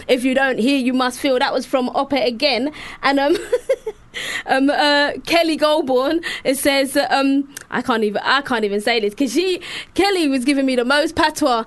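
A young woman from Sierra Leone co-hosting a radio show, animated and laughing often as she tells stories. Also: high-pitched, moderate pace. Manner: animated, laughing, lots of repeats. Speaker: co-host, rapper. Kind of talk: casual radio chat.

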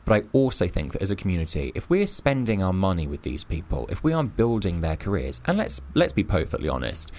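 A man with a very low voice holds forth on a podcast, building his argument point by point. He sounds emphatic and sure of his opinions as he chats casually. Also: sing-song, fairly quick, few pauses, a little mellow. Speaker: podcast co-host.

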